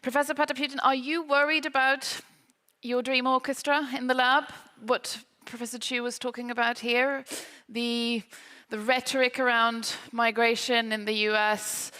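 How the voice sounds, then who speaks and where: A woman's voice: a little high, little pitch movement, normal speed, smooth. A woman moderating a panel discussion, attentive and asking questions. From lives in the UK. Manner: attentive, frequent soft backchannels. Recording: hall reverb.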